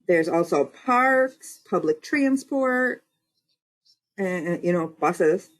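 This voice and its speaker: A woman's voice: fairly low pitch, lively intonation, takes it slowly, rough recording. A woman who is a county supervisor and state representative, answering interview questions and explaining things step by step in lists.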